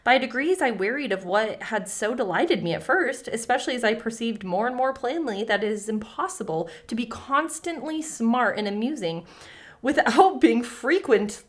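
A woman's voice: on the high side, animated, moderate pace. A young woman co-hosting a podcast, moving between casual, giggly, sweary banter and reading folktales aloud.

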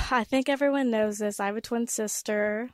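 A teenage high school girl, a guest in a casual interview, chatting with frequent fillers. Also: steady tone, moderate pace, no echo. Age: teens.

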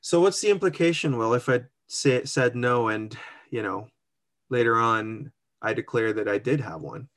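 A man's voice: mid-range voice, animated, moderate pace, fairly bright tone. Chatty man thinking aloud. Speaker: co-host walking through an online immigration form. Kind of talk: casual screen-share walkthrough.

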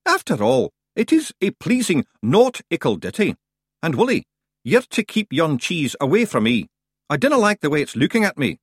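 A man voicing a tiny, tough male fairy chief with a Scottish accent, blunt and gruff. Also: a little high, huge pitch swings, normal speed, dry recording, clean studio sound, voice pushed up, exaggerated voice.

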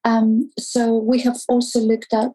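A woman from Greece with a Greek accent, an academic guest explaining things thoughtfully and carefully, with hesitant pauses. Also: fairly high pitch, monotone, dark tone, poor audio. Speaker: academic researcher, interview guest.

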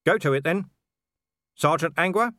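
A man as a calm, clipped watch commander. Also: a little high, normal speed, no echo, studio quality, pitched above the performer's own voice. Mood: calm commanding. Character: weary cynical male watch commander.

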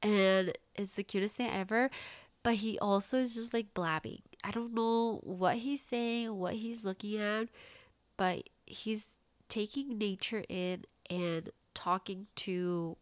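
A woman in her late twenties hosts her own podcast and chats on in a bubbly, filler-heavy way. Her nose is stuffed up and congested, and her voice sits at an ordinary middle pitch. The sound is dry and close, with no room around it. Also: fairly even intonation, slow, dry recording. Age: late 20s. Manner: chatty, bubbly, lots of "like" fillers. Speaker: podcast host. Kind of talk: casual solo podcast chat.

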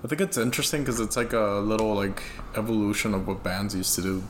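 A woman from Puerto Rico, a native Spanish speaker, chatting casually about music. Her voice sits remarkably low, and she laughs often and jumps in with quick playful remarks. A faint hiss runs under the recording. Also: steady tone. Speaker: podcast co-host. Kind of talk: casual chat.

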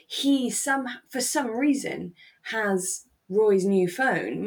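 A woman co-hosting a relaxed discussion podcast, chatty and given to acting out quotes as she talks. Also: on the low side. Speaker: podcast co-host. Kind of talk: relaxed discussion of a radio drama.

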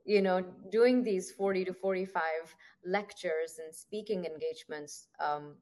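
A woman's voice: lowish voice, a little slow, a little mellow. Reflective woman host asking winding questions. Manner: reflective, winding questions with many "you know"s. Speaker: podcast host, interviewer. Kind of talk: interview questions.

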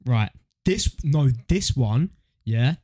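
A man's voice: medium pitch, lively intonation, slow, dark tone, no echo, studio quality. A young man co-hosting a podcast, bantering casually in a slangy, jokey, incredulous way. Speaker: podcast co-host. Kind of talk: casual banter, reacting to submitted stories.

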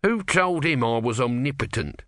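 A man's voice: mid-range voice, animated, normal speed, pushed and bright, no room sound, studio quality. A man voicing a grumpy small god trapped as a tortoise, evasive and sardonic, in a storybook reading.

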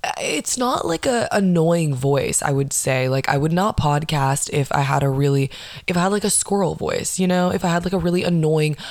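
A young woman with a lowish voice hosts a podcast, venting in a casual, foul-mouthed, sarcastic rant. She slips into mocking impressions of the people who annoy her. The recording is dry and close, with no room sound. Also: animated, moderate pace, a little mellow, no room sound. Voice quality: low voice, not nasal. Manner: sarcastic, swears a lot, acts out mocking quotes. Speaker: podcast host. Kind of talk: casual ranting monologue.

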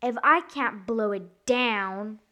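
A woman voicing a greedy, boastful male wolf villain in a children's verse story, menacing and drawn-out. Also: fairly high pitch, sharp tone. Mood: scheming.

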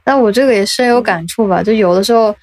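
Female podcast co-host with an average-pitched voice, relaxed conversational delivery, closely dry recording. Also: quick pace, dry recording. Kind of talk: casual chat.

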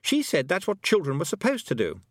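A man voicing a haughty yet anxious twelve-year-old lost boy in a storybook, emphatic and excitable. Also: fairly high pitch, a little fast, no echo, clean studio sound, pitched above the performer's own voice. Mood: indignant.

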